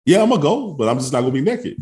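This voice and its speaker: A young man co-hosting a casual podcast, sounding stuffy and congested, laughing through crude banter and clearing his throat often. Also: a little high, big pitch swings, racing, dark tone. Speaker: podcast co-host. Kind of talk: casual chat.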